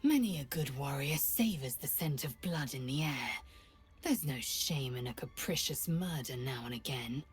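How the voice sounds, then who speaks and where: A deep, heavily rasping female voice for a haughty alien warrior in a fantasy game. She is clipped, commanding and disdainful. Music plays behind her. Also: animated, moderate pace, exaggerated voice. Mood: coolly approving. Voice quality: husky, raspy. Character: stern, haughty alien githyanki warrior woman. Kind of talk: fantasy video game acting.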